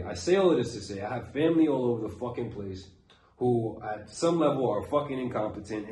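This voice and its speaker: A man's voice: medium pitch, darkish tone. Profane, preachy New man, roomy sound. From Brooklyn, New York. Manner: blunt, profane and preachy, circling back to his point. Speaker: self-improvement coach. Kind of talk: casual motivational monologue. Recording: roomy echo, faint background noise.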